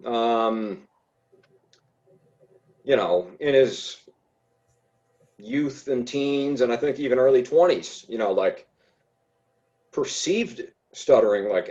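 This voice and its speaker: A man who stutters talks slowly and haltingly, full of fillers and restarts. His voice has a middle pitch. The sound is dull and lo-fi. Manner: hesitant, many fillers and restarts. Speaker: podcast guest. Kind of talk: casual conversation.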